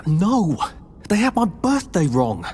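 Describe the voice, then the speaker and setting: A man's voice: sits high, wildly swooping pitch, takes it slowly, darkish tone, slight background noise, full character voice. An actor playing a polite, anxious young man with a British accent in a video game, hesitant and wry. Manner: hesitant, sardonic.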